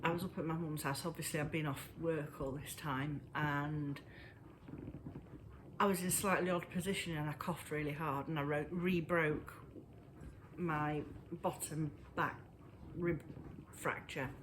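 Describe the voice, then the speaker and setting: Breathless Englishwoman chatting haltingly. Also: low voice, fairly even intonation, background noise. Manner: halting, pauses often. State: breathless, wheezy. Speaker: knitting vlogger. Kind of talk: casual chat to camera. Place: at home indoors.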